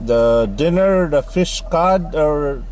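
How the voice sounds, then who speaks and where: A man's voice: a little high, animated, a little mellow, a little hiss or hum, pitched above the performer's own voice. A man putting on an overdone foreign accent. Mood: mock-formal. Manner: slow, formal, exaggerated order-taking phrasing. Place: in a car at a drive-through.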